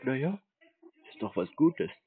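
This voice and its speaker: A man in a phone conversation, talking in a deadpan way and playing naive. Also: mid-range voice, unhurried, husky, dry recording. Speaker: prank call recipient stringing along a scammer. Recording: muffled narrowband phone audio.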